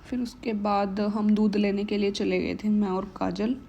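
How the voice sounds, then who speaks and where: A woman's voice: medium pitch, fairly even intonation, normal speed, vocal fry, warm tone, dull audio, a little hiss or hum. A young woman with a sore throat narrating her day in a casual home vlog, chatty and mixing English words into Hindi. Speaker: vlogger.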